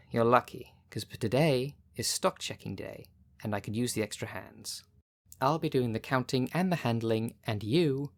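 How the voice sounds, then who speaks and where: Casting: performer as sardonic female witch running a potion shop, mid-low androgynous pitch, matter-of-fact and bossy, ASMR roleplay delivery. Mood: grudging, brisk. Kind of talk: ASMR roleplay, speaking directly to the listener.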